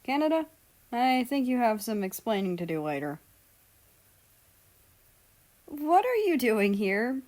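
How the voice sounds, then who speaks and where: An excitable young man from a storybook, voiced by a woman reader, pitched up high. He is a teasing older sibling and easily startled. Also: measured pace, long pauses. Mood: startled, demanding.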